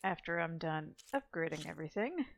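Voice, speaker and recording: A woman's voice: low-pitched, expressive, fairly quick, vocal fry, noisy background. A woman giving casual video game walkthrough commentary, thinking aloud in a rambling way. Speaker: gaming video host. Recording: game music behind the voice. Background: video game music.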